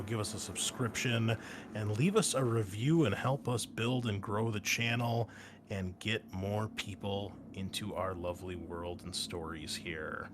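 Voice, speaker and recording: A man hosting a tabletop game podcast as game master, upbeat and jumping louder on key words. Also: lowish voice, noisy background.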